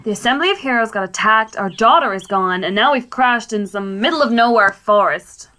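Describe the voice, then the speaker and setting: A woman playing a superhero's sharp-tongued, exasperated wife in a radio play, curt and fed up. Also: fairly high pitch, lively intonation, a little fast.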